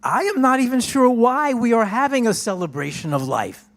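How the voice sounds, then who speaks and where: A woman's voice: fairly high pitch, expressive, moderate pace. Woman playing a devout, insistent disciple. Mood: emotional, insistent. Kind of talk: dramatic reading for a church play.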